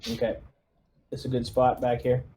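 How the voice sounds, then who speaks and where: A man's voice: medium pitch, completely flat delivery, moderate pace, noisy background. A man chatting casually as a player in a tabletop game. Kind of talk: casual chat over a game.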